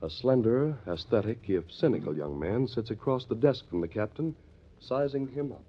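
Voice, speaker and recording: An actor playing a formal radio mystery announcer, giving scripted narration in a dramatic old-time style on a muffled recording with music. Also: male voice, mid-range voice, lively intonation, normal speed, slightly rough.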